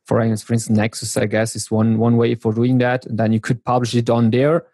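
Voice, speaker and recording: A man from northern Italy, a software developer explaining things as a podcast guest. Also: mid-range voice, flat delivery, brisk, no room sound, studio quality. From South Tyrol, northern Italy. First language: German. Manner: explanatory, with restarts. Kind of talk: casual technical chat.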